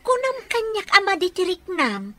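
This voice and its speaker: High-voiced young Filipina sweetheart. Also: female voice, huge pitch swings, normal speed, bright, forceful tone, slight background noise, exaggerated voice. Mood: puzzled, surprised. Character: young woman, caring understanding sweetheart. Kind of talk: Filipino radio drama acting. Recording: radio drama with background music.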